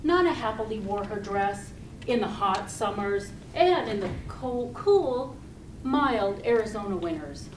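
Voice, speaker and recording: A woman giving a rehearsed storytelling speech to an audience, speaking deliberately and expressively with pauses. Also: mid-range voice, lively intonation. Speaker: club speaker giving a tall tale speech. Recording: roomy, distant mic with loud noise.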